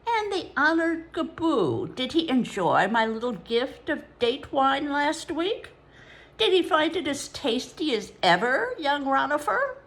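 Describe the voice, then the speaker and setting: A woman's voice: high-pitched, measured pace, voice pushed up, full character voice, rough recording. A woman voicing a servile, grinning male porter with a Babylonian accent and a reedy, sibilant voice. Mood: wheedling. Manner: oily, obsequious flattery.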